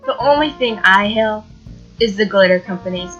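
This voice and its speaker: A woman's voice: mid-range voice, normal speed, fairly bright tone, lo-fi sound, faint music behind. A woman in casual chat, answering questions as a member of a cast.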